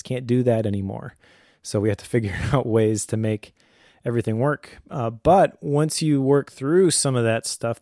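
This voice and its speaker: A middle-aged man speaks with a warm, relaxed tone in a dry recording. He offers advice conversationally, as a host would, in an earnest and measured way that encourages the listener. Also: mid-range voice, dry recording. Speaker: podcast host, homeschooling father.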